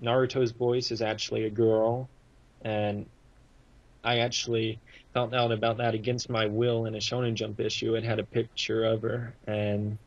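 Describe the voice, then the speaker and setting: A man's voice: on the low side, flat delivery, normal speed. A man chatting casually as a podcast guest over a lo-fi voice call. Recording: dull, lo-fi voice call.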